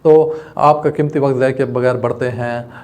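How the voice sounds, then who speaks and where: A man's voice: medium pitch, steady tone, moderate pace, a little mellow. Male online law lecturer, Pakistani accent, mid-range voice with little melody, step-by-step teaching. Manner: addresses students directly, explains step by step. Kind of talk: instructional lecture mixing in English legal terms.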